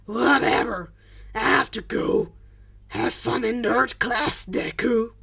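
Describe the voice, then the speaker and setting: A woman's voice: on the high side, slow, gravelly, fairly bright tone, slightly rough, exaggerated voice. A woman voicing an irritable, dismissive teenage boy bully in a storybook reading.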